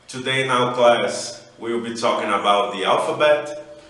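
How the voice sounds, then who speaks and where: A man with a Brazilian Portuguese accent teaching a recorded online English lesson, slowly and carefully sounding out letters one by one. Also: medium pitch, fairly bright tone. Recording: echoey room, voice away from mic.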